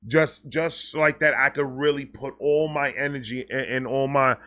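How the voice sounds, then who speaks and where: A man talking casually and off the cuff to his viewers, excitable and rambling, often repeating and stammering over words, with slangy, sweary phrasing. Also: medium pitch, normal speed. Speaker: online video creator and host. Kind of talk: casual unscripted vlog talk to viewers.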